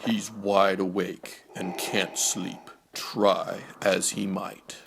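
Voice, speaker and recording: A man's voice: sits low, level tone, very slow, creaky. A man reading a children's bedtime story as a scripted narrator, on a noisy recording.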